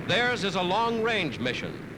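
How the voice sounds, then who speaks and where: A man's voice: high-pitched, big pitch swings, a little slow, noisy background. An American man narrating a scripted 1940s newsreel in a dramatic, declamatory announcer style on a vintage soundtrack. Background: orchestral music.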